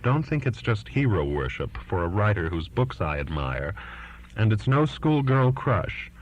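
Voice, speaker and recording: An earnest twenty-year-old daughter as a male audiobook reader voices her pleads her case to her father, defiant and insistent. The voice is low-pitched, and the recording is muffled and lo-fi. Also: very animated, measured pace, slight background noise. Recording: dull lo-fi recording.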